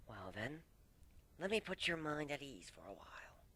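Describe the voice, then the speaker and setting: A sultry female griffin from a fantasy story, acted out by a man. The voice is bright and swoops around, with a purring, teasing manner. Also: mid-range voice, sing-song, moderate pace. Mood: seductive.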